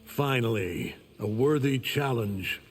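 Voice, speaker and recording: An actor playing an arrogant, menacing, godlike conqueror in a video game, with a contemptuous, commanding delivery. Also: male voice, mid-range voice, expressive, slow pace, heavy background noise. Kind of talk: video game character acting.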